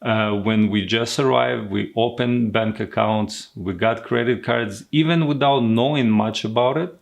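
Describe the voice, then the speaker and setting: A man's voice: medium pitch, measured pace, vocal fry. A young man from Ukraine with a Ukrainian accent, explaining things casually as a co-host. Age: young adult. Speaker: co-host, money management coach.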